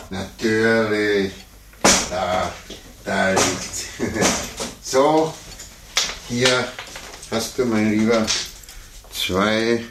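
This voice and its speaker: An actor playing a fussy, condescending older gentleman in a 1950s radio play, muttering to himself and talking down patronizingly. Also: on the low side, full character voice. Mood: genial, fussy. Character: condescending, fussy, self-important older gentleman chess amateur. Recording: roomy, noisy 1950s radio recording.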